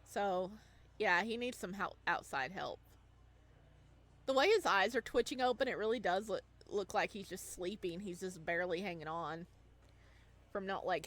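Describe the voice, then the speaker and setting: A woman's voice: medium pitch, fairly bright tone. A woman playing a visual novel, reading it aloud expressively and reacting with playful, casual commentary. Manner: playful, reacts with cooing and chuckles.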